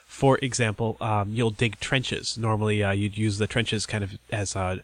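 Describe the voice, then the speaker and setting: A man's voice: fairly low pitch, flat delivery, normal speed, no echo. Easygoing man explaining with fillers. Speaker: podcast co-host. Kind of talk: casual roundtable chat.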